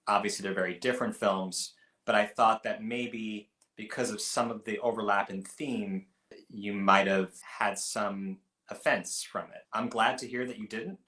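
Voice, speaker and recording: A man co-hosting a film podcast, talking things through in a thoughtful, measured way. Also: fairly low pitch, fairly even intonation, sharp tone. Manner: thoughtful, measured, with frequent restarts. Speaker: podcast co-host. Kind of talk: casual film review chat. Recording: roomy echo.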